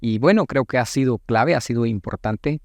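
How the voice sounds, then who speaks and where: A Guatemalan man in his late thirties giving thoughtful, halting answers as a politician being interviewed, with formal wording. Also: medium pitch, no room sound, clean studio sound. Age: late 30s. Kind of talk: reflective interview talk.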